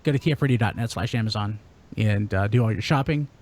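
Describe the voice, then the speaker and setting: A man's voice: on the low side, fast, a little mellow. A man hosting a podcast, chatting casually in a jokey, wry manner. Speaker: podcast host.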